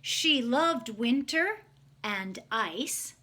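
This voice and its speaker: A woman's voice: on the high side, animated, extremely slow, bright, forceful tone, a little hiss or hum. A woman reading a picture book aloud to children, expressively, stopping to ask them simple questions. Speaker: children's read-aloud storyteller.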